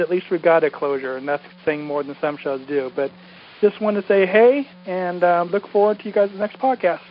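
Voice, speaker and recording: A man who podcasts, leaving a casual, rambling message over a muffled phone line. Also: high voice. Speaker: podcaster calling in. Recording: muffled phone voicemail, faint noise.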